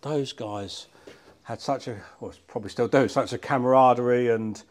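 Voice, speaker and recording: A man's voice: mid-range voice, moderate pace. A middle-aged man with a British accent chatting informally and self-deprecatingly as he works on a car in a workshop. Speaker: car collector and restorer presenting a channel. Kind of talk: casual chat while working on a car. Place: large workshop barn, indoors.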